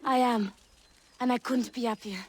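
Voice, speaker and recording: A woman playing a cheerful, friendly colonial settler in a video game, with a gentle, homely, polite manner. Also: fairly high pitch, steady tone, normal speed, natural voice. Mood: grateful, content. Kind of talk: video game cutscene acting.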